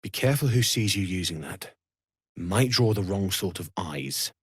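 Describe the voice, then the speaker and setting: An actor playing a kindly, earnest young blacksmith in a fantasy video game, with a husky, breathy voice and an understated manner. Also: male voice, sits low, lively intonation, normal speed. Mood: earnest, helpful. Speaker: blacksmith and trader. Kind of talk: video game character dialogue.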